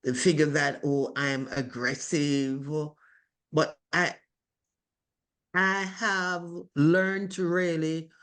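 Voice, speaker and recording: A woman with a strong voice, speaking slowly and deliberately with many pauses as a participant in a video call discussion. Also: low-pitched, fairly even intonation, fairly bright tone, clear, resonant tone. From from abroad. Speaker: webinar participant. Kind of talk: reflective group discussion.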